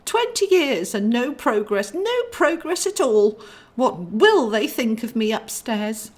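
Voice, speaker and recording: A woman reader plays a guardian angel in a comic storybook. She is anxious and fretful, pleading in a high voice well above an everyday pitch. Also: sing-song, slow pace. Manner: worried, desperate, repetitive pleading.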